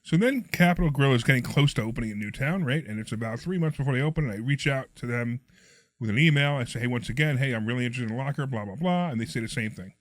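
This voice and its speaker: A middle-aged man from Pennsylvania with a hoarse, froggy voice, telling a story as a podcast guest in an animated way. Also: medium pitch, fast talker, no room sound. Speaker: podcast guest, real estate investor. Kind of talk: casual storytelling chat.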